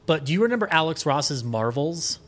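A man with a slightly high voice chats as a podcast guest. He is enthusiastic and opinionated, rambling through his ideas in an animated, casual way. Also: brisk. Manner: animated, rambling, opinionated, acts out imagined quotes.

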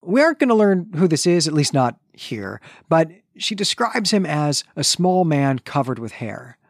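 A man's voice: a little high, very animated, normal speed, no echo, studio quality. A man co-hosting a book podcast, methodically summarizing and reflecting on a novel's chapters. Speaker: podcast co-host. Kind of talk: casual book discussion.

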